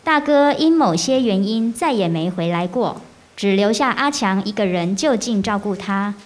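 A woman giving scripted narration for a radio drama in a measured storytelling way. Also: mid-range voice, animated.

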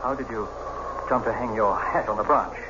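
An actor playing a placating doctor and friend in an old-time radio horror drama, earnest and theatrical in delivery. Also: male voice, on the low side, normal speed, close to an everyday voice. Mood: bewildered. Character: smooth, placating doctor friend, accused of plotting murder. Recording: muffled, noisy vintage radio recording.

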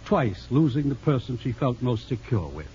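Casting: man playing thoughtful physician, mellow and expressive, old radio play, muffled hissy recording. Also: mid-range voice, normal speed, natural voice. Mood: earnest, reassuring. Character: kindly, tactful physician, earnest and thoughtful. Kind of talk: 1950s radio drama acting.

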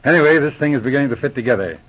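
An actor playing a calm, methodical senior police inspector in 1940s radio drama, explaining things with measured authority. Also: male voice, medium pitch. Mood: methodical, confiding. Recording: dull, muffled old radio recording.

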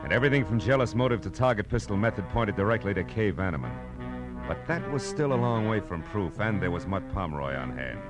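An actor playing a cool, sardonic private eye in 1940s radio drama style, with clipped, wry, tough talk. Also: male voice, on the low side, expressive, fast, over music, lightly acted. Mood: wry, grim. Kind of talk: 1940s radio detective acting, first-person narration.

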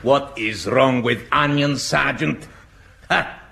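An actor playing a ruthless, blunt guerrilla captain with a Spanish accent in a British sitcom, barking out instructions. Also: male voice, medium pitch, slow, vocal fry, pushed and bright, heavily acted. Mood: brusque, commanding.

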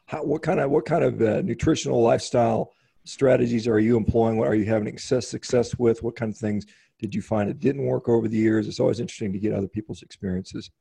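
A man's voice: medium pitch, fairly even intonation, creaky, dark tone, husky. A man hosting an interview, asking rambling questions with many restarts. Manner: halting, restarts questions often. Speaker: host interviewer.

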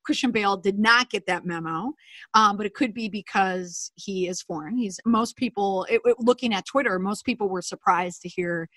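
A woman co-hosting a casual commentary chat, opinionated and animated, often laughing. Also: mid-range voice, moderate pace, no echo.

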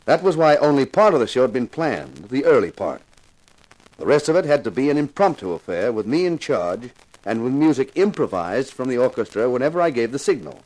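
An actor playing an intense television detective in a 1950s radio crime drama, narrating and questioning in a deliberate, insistent way. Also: male voice, mid-range voice, very animated, a little mellow, low-quality recording. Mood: tense, confiding.

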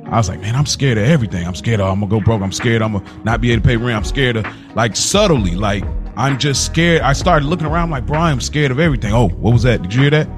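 A man's voice: medium pitch, expressive, fast talker, hardly any pauses. Slangy man chatting over music. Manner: informal, slangy, repeats phrases for emphasis. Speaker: podcast co-host. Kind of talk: casual podcast conversation.

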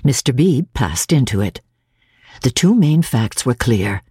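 A woman's voice: extremely low pitch, sing-song, a little slow, warm tone, slightly rough, dry recording, studio quality. A woman narrator giving a dramatic reading of literary fiction.

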